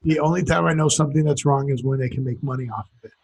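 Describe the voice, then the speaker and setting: A man's voice: medium pitch, rapid-fire, creaky, a little mellow, breathy edge. A man co-hosting a podcast, talking in a joking, rambling, wry way. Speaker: podcast co-host. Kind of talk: casual chat.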